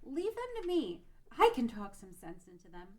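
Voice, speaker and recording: A woman's voice: a little high, wildly swooping pitch, moderate pace, darkish tone. A woman playing a brave, earnest young peasant girl pleading for peace in an amateur fantasy table read. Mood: determined.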